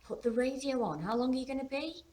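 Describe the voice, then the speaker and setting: A woman's voice: fairly high pitch, big pitch swings, quick pace, pitched above the performer's own voice. A woman voicing an anxious, teasing fifteen-year-old daughter in a novel reading, with an informal, exclamatory, questioning manner. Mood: puzzled, indignant.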